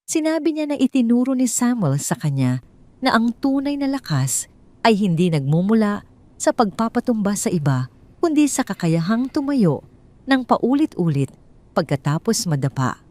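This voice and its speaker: A woman's voice: on the high side, sing-song, moderate pace, a little mellow. A woman with a Filipino accent narrating a scripted dramatic story.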